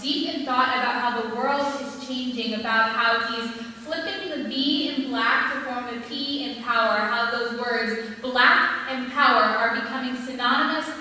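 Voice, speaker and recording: A teenage American girl performing slam poetry with dramatic, deliberate, emphatic delivery. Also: on the high side, bright, forceful tone. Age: teenager, high school sophomore. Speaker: student poet. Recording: very echoey hall, distant microphone.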